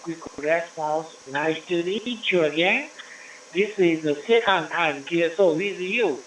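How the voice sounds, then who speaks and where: Man on ham radio, very slow formal procedure, higher pitch, distant echoey signal with faint hiss. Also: fairly bright tone. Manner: formal, measured call procedure. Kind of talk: amateur radio contact.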